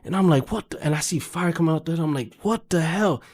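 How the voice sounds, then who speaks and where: A man's voice: a little high, fast talker. Man telling stories in casual slang. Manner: animated anecdotes with acted-out quotes, slangy. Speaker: guest, car salesman. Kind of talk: casual podcast storytelling.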